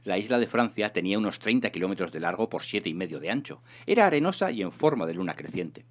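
A man with a fairly low voice narrates a comic science fiction novel. His reading is theatrical and emphatic, with highly animated intonation that rises and falls widely. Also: quick pace. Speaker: reader of a serialized humorous sci-fi novel. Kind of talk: expressive scripted narration, audiobook reading.

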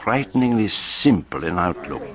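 An actor playing a shrewd, observant detective, narrating his suspicions in a measured, reflective way in a radio drama. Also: fairly low pitch, sing-song. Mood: musing. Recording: slightly dull broadcast radio recording.

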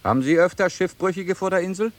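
A man acting an amorous, gruff but gallant ship's captain in a German radio drama. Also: high-pitched, fast talker, lightly acted. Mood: puzzled. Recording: noisy radio drama with sound effects.

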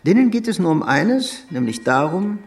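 An actor playing a haughty, cynical aristocratic prince in a radio drama, condescending and sardonic. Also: male voice, high-pitched, sing-song, normal speed. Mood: scornful, cynical.

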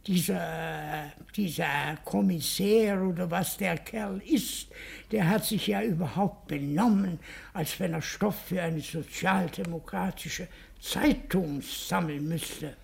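An actor playing an affronted, snobbish aristocratic baron and adjutant in a radio play, with an affected, haughty, emphatic delivery. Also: male voice, high-pitched, slow pace, vocal fry, slightly rough, heavily acted. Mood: pompous outrage. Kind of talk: German radio play acting.

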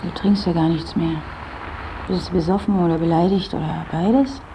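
An actress playing a sharp, sardonic journalist who needles and accuses, in a German radio play. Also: female voice, lowish voice, steady tone, moderate pace, heavy background noise, close to an everyday voice. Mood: tipsy, needling. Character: sharp, mocking career-driven female journalist confronting a writer.

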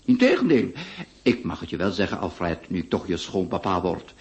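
A jovial, domineering middle-aged marquis in a radio play. His speech swings widely in pitch as he presses on, effusive and overbearing, piling up repeated words. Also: wildly swooping pitch, moderate pace, a little mellow, muffled recording, exaggerated voice. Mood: jovial, insistent. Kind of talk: radio drama acting.

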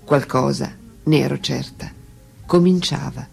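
A woman in a radio drama playing a mature, dignified French writer who looks back on love in a measured, reflective way. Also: very deep voice, creaky, darkish tone, slightly rough, faint music behind, full character voice. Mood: reflective, intimate. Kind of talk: theatrical radio drama monologue.

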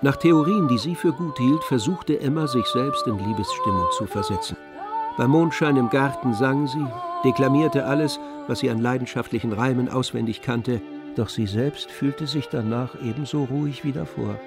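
A man narrating a literary radio drama in a measured storytelling manner. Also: medium pitch, very animated, loud music behind the voice.

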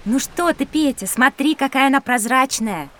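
Agreeable little girl pupil, high and very melodic, inquisitive; children's audio drama, slightly off-mic. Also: animated, normal speed, a bit bright, recorded from a distance, a little hiss or hum. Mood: agreeable, curious. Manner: inquisitive, approving. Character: bright, agreeable, curious young schoolgirl.

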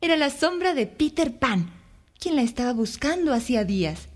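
Lively, high-voiced woman storyteller. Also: moderate pace. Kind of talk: scripted children's story narration.

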